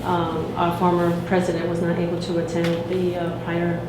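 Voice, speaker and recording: A woman's voice: lowish voice, completely flat delivery, moderate pace. A woman, secretary of a student association, giving a report to a meeting with many fillers and restarts. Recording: echoey room with noticeable noise.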